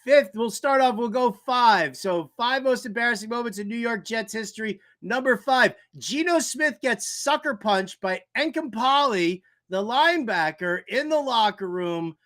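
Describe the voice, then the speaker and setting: A man's voice: very high voice, lively intonation, moderate pace, few pauses, fairly bright tone. A man hosting a sports talk show, delivering theatrical, exasperated fan commentary with emphatic repeated phrases.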